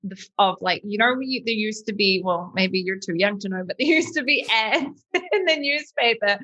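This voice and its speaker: A woman in her thirties with a New Zealand accent and a bright, crisp tone. She chats in a lively, loose way, full of "like" and "um". She tells her own story as an interview guest. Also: mid-range voice, normal speed, lo-fi sound. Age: 30s. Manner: lively and chatty, many fillers like "like" and "um". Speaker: podcast guest.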